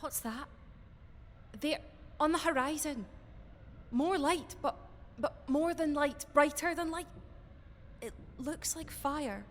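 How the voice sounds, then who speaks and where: High-voiced rebel woman, echoing space. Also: slow, pauses often. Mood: alarmed wonder. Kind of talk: audio drama dialogue. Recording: long reverb, faint background noise.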